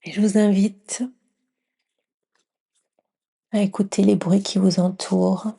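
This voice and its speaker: A woman leading a guided yoga nidra meditation in a gentle, soothing near-whisper with long pauses. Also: mid-range voice, flat delivery, vocal fry, breathy edge.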